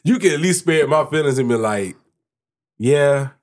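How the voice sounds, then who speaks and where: A man's voice: on the high side, animated, moderate pace, darkish tone. A man co-hosting a podcast, chatting casually and explaining his view at length, often restarting his sentences. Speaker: podcast co-host.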